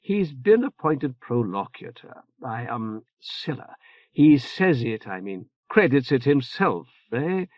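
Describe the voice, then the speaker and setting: A man's voice: medium pitch, sing-song, no echo. A man voicing a fretful older male churchman who stammers, hedges and tags lines with "eh?", in a dull, muffled recording. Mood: anxious, fumbling. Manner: halting, full of "uh" and "eh?" tags. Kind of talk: audiobook character voice.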